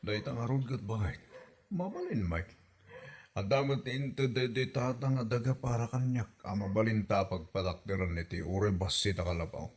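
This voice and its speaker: A man's voice: fairly low pitch, normal speed, a little mellow, close to an everyday voice. A man playing a mild young husband in a comedy drama, placating and defensive between his mother and his wife. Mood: weary. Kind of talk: comedy radio drama acting.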